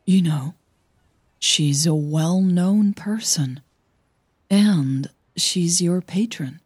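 A woman voicing a gentle, guarded female coach in an audio drama, speaking carefully and soothingly. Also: on the low side, drawn-out words, husky, dry recording, clean studio sound, full character voice. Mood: apologetic.

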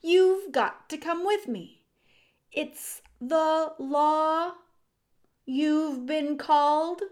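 A woman's voice: mid-range voice, animated, fairly quick, mellow, close to an everyday voice. A woman voicing a bossy, exasperated young male mouse who scolds and announces insistently in a storybook reading.

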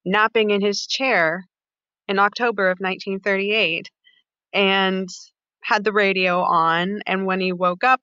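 Bright woman, slightly dull recording. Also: mid-range voice, clean tone, no room sound. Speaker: podcast co-host. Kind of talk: casual chat, personal storytelling.

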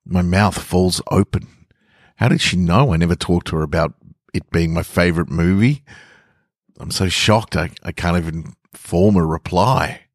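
A man's voice: deep voice, normal speed, dry recording, clean studio sound. A man reading a first-person story as a shy, lovestruck young man, awkward and awestruck. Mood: awestruck, nervous.

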